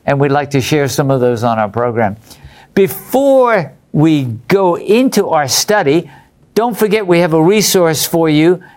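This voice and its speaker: A man's voice: on the high side, animated, measured pace, darkish tone. A man hosting a religious study program, reading out viewer letters and putting questions to his group and viewers.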